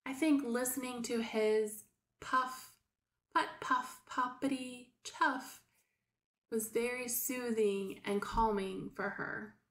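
A middle-aged woman teaching young children as a preschool teacher, explaining things simply with hesitant pauses. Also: a little high, fairly even intonation, a bit bright. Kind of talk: read-aloud lesson for young children. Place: small room at home, indoors. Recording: roomy, echoey home recording.

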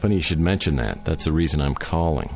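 An actor playing a wry, world-weary private detective, narrating a radio drama in a deadpan, sardonic way. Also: male voice, a bass voice, fast, echoey room, in a large hall, faint music behind.

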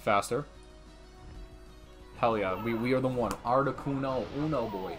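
A young man commenting casually on a game with a friend, excitable and sweary, repeating his phrases. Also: mid-range voice, measured pace. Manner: profane, excitable, repeats himself. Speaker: livestream gamer. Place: indoor room, streaming webcam setup. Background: video game music.

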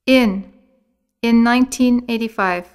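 A woman narrates a language course in a fairly high voice. She reads scripted material and vocabulary with careful, clear enunciation. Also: measured pace, a little mellow. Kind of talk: scripted lesson reading, word drills.

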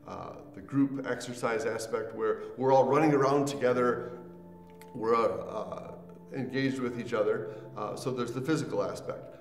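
A middle-aged man giving an unscripted testimonial in a measured, matter-of-fact way. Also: medium pitch. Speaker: parent and student giving a testimonial. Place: small indoor room with a couch. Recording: roomy echo, distant mic, faint music behind.

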